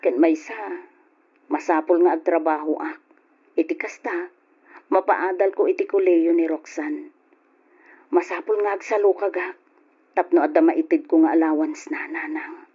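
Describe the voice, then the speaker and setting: A woman's voice: on the low side, steady tone, normal speed, pauses often, warm tone, breathy edge. A woman with a Filipino accent playing a determined young wife and mother in a radio drama, emotional and insistent. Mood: earnest, resolved. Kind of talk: old-fashioned Ilocano radio drama acting. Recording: dull lo-fi old radio drama.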